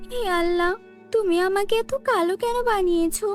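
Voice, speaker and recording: A woman's voice: very high voice, moderate pace, soft background music, pitched above the performer's own voice. A woman voicing a humble, sorrowful young seamstress in a cartoon fairy tale, with a plaintive, pleading delivery. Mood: anguished pleading.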